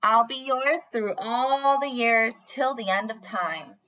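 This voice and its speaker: A woman's voice: fairly high pitch, slow pace, a bit bright, low-quality recording. A young woman preschool teacher doing a read-aloud for small children in a bubbly, sing-song manner, recorded on a webcam in a small room. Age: young adult. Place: small panelled indoor room.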